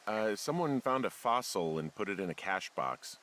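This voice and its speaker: An actor voicing an easygoing American fire lookout in a video game, conversational, joking and deadpan. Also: male voice, fairly low pitch, moderate pace, a little hiss or hum. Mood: curious, wry. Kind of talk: naturalistic video game dialogue. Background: faint background music.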